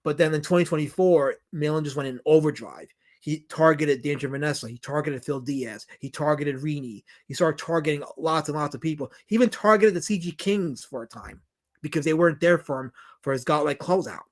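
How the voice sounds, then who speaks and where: Man, New York accent, somewhat high voice, rambling, emphatic, casual livestream talk. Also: fairly quick. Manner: rambling and emphatic, with many restarts and repetitions. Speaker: documentary director hosting a livestream Q&A. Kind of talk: casual livestream chat, reading viewer comments.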